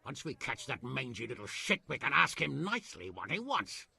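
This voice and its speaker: An actor playing a gruff, hot-tempered medieval lord in a game, with a raspy, gravelly voice and blustery manner. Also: male voice, mid-range voice, very animated, normal speed, pushed and bright, no room sound, full character voice. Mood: gruff, forceful. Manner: blustery, sardonic. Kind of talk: video game cutscene acting.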